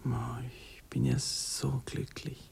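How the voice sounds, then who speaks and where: An actor playing a nervous, sensitive young gentleman in love in a 1960s German radio drama, halting, stammering and self-pitying. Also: medium pitch, little pitch movement, gravelly, slightly rough, in a whisper, heavily acted. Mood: tender, pleading.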